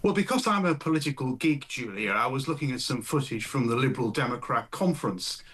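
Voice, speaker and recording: A man from County Durham, giving measured, wry political commentary as a guest. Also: mid-range voice, animated, fairly bright tone, husky. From County Durham, north-east England.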